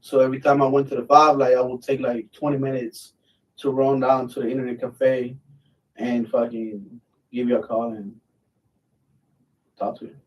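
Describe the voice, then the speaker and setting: A man's voice: medium pitch, flat delivery, moderate pace, long pauses, far from the mic, lo-fi sound. A middle-aged man from Mexico, a military veteran, telling stories in a blunt, easygoing way and swearing freely. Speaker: military veteran guest, channel host. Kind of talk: casual live chat.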